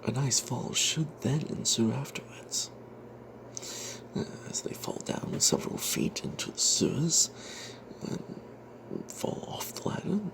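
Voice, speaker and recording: A man narrating a first-person fantasy story as ASMR in a breathy whisper, hushed and halting, with wry asides. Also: mid-range voice, very animated, rough recording. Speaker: storyteller narrating own tabletop character. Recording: noticeable background noise.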